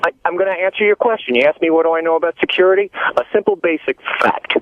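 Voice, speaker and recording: A man's voice: fairly high pitch, fast talker, muffled recording. A male caller lecturing indignantly and stammering while arguing on a radio show. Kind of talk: heated phone-in argument.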